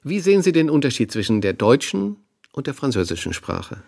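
A man's voice: medium pitch, very animated, brisk, gravelly, darkish tone, no echo, clean studio sound. A man playing a polite, attentive talk show host in a radio play, conversational and courteous. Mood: matter-of-fact.

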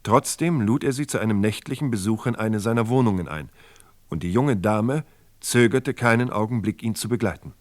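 A man's voice: lowish voice, normal speed, a little hiss or hum. A man narrating a true-crime radio play from a script.

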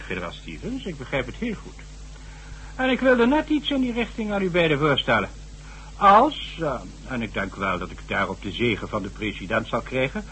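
A shrewd, lively-voiced statesman. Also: high voice, slight background noise, rough recording. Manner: smooth, authoritative, calculating. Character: shrewd high-ranking male government official. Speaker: statesman addressed as excellency. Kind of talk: 1970s radio drama acting.

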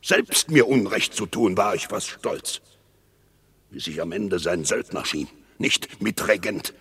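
Bitter proud army commander, old-school radio drama, slow and declamatory, really raspy. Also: male voice, low-pitched, expressive, a bit bright, exaggerated voice. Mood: bitter, resentful. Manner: declamatory, deliberate. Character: proud, bitter, resentful male military commander turned accuser. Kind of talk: classical stage-verse radio drama acting.

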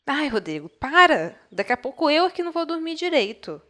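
A woman's voice: sits high, big pitch swings, brisk, pushed and bright, exaggerated voice. A woman with a Brazilian accent playing a caring, slightly exasperated woman in a naturalistic horror audio drama. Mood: casual, exasperated.

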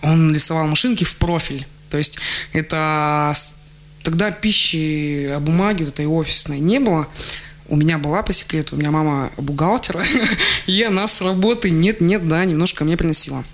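A man, a guest on a radio show, telling childhood stories in a relaxed way, laughing often and restarting his sentences. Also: a little high, normal speed. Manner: chatty, laughs often, many fillers and restarts. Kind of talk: relaxed radio interview storytelling. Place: radio studio. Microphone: studio microphone.

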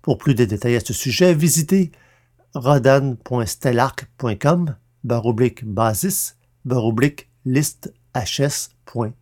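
A man's voice: medium pitch, lively intonation, unhurried, a little mellow. A man narrating and hosting a podcast from a script, formal and carefully enunciated.

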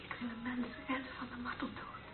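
A woman's voice: fairly high pitch, flat delivery, fast talker, music in the background, close to an everyday voice. A woman in a radio play acting an anguished, resolute young nurse who confesses a mortal sin. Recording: tinny old radio play recording.

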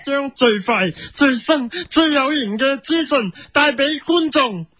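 Man acting pompous young Hong Kong reporter, high-pitched. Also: animated, takes it slowly, pushed and bright, full character voice. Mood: eager, self-important. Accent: Hong Kong Cantonese. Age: young adult. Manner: pompous, earnest, pestering. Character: overzealous, thick-skinned, self-important young male TV reporter. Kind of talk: comic radio drama, mock news reporting.

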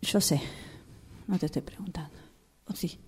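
A woman playing a suspicious, wounded wife in an improvised radio drama, probing haltingly with an Argentine accent and a husky, creaky voice. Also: fairly low pitch, mellow, in a whisper, close to an everyday voice. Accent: Argentine Spanish.

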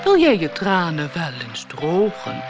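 A woman's voice: on the low side, wildly swooping pitch, a little slow, music in the background, full character voice. A woman voicing a kindly, playful old male sea turtle who speaks in singsong rhyme for a children's storybook. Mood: jovial, consoling. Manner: singsong rhyming delivery.

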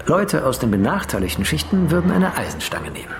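An actor playing an intense filmmaker reading shot directions and reflective monologue in a radio drama. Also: male voice, a little high, huge pitch swings, brisk, a little hiss or hum. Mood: bitter, reflective.

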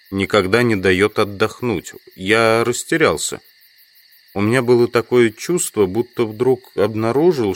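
An actor playing an educated, sceptical outsider in an audio drama, speaking in measured, literary phrases. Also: male voice, lowish voice, close to an everyday voice. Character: educated man, reflective, sceptical outsider trapped in sand village. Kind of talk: audio drama acting with first-person narration.

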